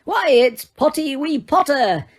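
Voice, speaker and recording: A woman voicing a gleeful, mocking male poltergeist, taunting in a drawn-out sing-song. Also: sits high, animated, voice pushed up.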